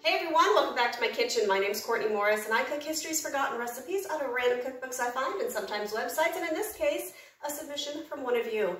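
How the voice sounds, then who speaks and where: A woman's voice: high voice, lively intonation, fast talker, roomy sound, recorded from a distance, music in the background. An American woman hosting a cooking vlog from her home kitchen, chatty and upbeat, with rambling asides. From United States. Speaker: cooking channel host.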